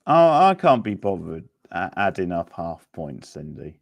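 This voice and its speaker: A man's voice: low voice, sing-song, a little slow, creaky. A man hosting a quiz in a casual, laid-back, chatty manner. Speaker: quiz host. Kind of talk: casual live quiz chat.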